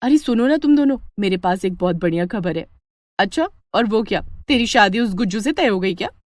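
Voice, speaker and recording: A woman's voice: medium pitch, lively intonation, fairly quick, a little mellow, dry recording, clean studio sound, lightly acted. Woman voicing an eager, chatty young village woman. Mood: playful, excited. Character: lively, food-loving young village women friends.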